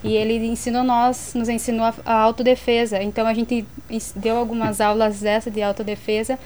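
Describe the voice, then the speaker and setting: Earnest woman, higher voice. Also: flat delivery, brisk, a little mellow, a little hiss or hum. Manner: earnest, explanatory, many fillers. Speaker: ministry worker. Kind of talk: conversational interview answers.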